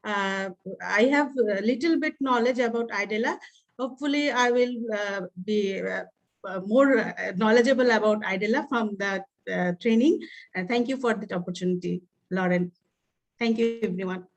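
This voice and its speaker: A woman's voice: medium pitch, moderate pace. A woman from Bangladesh, introducing herself hesitantly on a video call, with many fillers. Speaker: child development worker.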